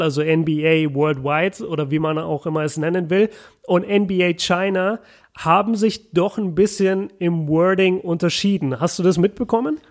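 Chatty young man with a raised pitch. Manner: chatty, many fillers and restarts, mixes English words in. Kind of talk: casual podcast discussion.

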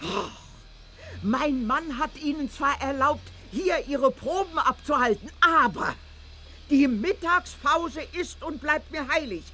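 A high-pitched, stern housewife in a radio drama. She scolds in a slow, commanding, indignant way, like an aunt who tolerates no nonsense. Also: animated, pushed and bright, slight background noise, full character voice. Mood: indignant, firm. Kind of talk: German radio play acting.

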